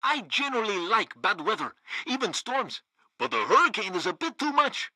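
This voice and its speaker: A male state radio host from a comic video game. He is pompous and obsequious, with a lively, swooping delivery and a bright, forceful voice. The sound is dry and close, like a studio announcer. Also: high-pitched, moderate pace, dry recording, full character voice. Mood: proud. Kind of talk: satirical radio news announcing.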